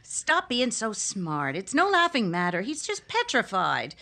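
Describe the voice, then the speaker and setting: A woman's voice: on the high side, huge pitch swings, a little slow, gravelly, bright, forceful tone, exaggerated voice. An actress playing an exasperated, anxious 1950s housewife and mother in a radio sitcom, fretful and emphatic. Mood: fretful, pleading.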